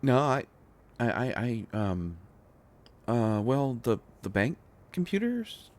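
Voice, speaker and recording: A man acting a shy, flustered, devoted inventor boyfriend in an intimate roleplay audio drama, talking in halting, stammering rambles. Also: medium pitch, sing-song, darkish tone. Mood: nervous, defensive.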